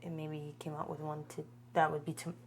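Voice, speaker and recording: A woman's voice: sits low, completely flat delivery, fast. A woman co-hosting a podcast, chatting casually and informally as she reviews a series. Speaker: podcast co-host. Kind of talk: casual chat reviewing a web horror series.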